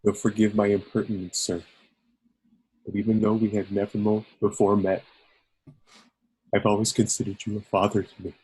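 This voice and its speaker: Man acting a husky, earnest alien crewman. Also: fairly low pitch, level tone, a little mellow, poor audio. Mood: reverent. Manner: halting, reverent, formal. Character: earnest, devoted alien crewman, mortally wounded. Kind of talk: amateur screenplay table read.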